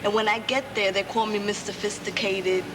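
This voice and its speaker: A woman's voice: medium pitch, little pitch movement, moderate pace, long reverb tail. Carefully spoken young New woman, noisy tape. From New York City. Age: teens to young adult. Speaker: drama student. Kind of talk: interview talk. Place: large school rehearsal hall. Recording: noisy, worn tape copy.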